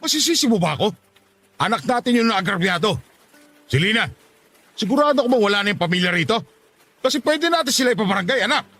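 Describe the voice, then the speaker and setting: A furious middle-aged father in a radio drama. He scolds in a rapid, raised-pitch voice, protective and indignant, over faint music. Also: expressive, sharp tone, heavily acted. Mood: angry, protective. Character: angry, protective father, soon a grandfather. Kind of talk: Tagalog radio drama acting.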